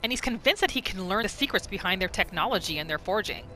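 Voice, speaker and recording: A woman's voice: medium pitch, animated, quick pace, pushed and bright, a little hiss or hum. A woman giving casual, storytelling commentary as she narrates a roleplay video game. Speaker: gamer narrating a roleplay series.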